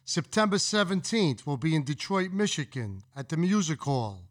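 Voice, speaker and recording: A man in his sixties reading out a schedule, a bit halting. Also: fairly high pitch, big pitch swings. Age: 60s. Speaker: studio guest.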